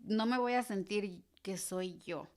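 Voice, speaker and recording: A woman's voice: mid-range voice, measured pace, darkish tone. A Mexican woman in her thirties, a podcast guest talking in an earnest, easygoing way that often trails off and restarts. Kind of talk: casual interview chat.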